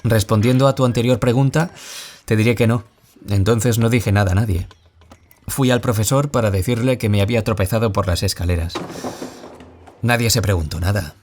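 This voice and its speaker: A man's voice: fairly low pitch, a little fast, darkish tone, slight background noise, lightly acted. An actor playing a wounded young man from Spain who was bullied, confiding his story as an audio-drama interviewee with a Spain Spanish accent. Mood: anguished, confiding. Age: young adult. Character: wounded young man, former bullying victim, anguished, confiding. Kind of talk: scripted audio-drama monologue in interview form.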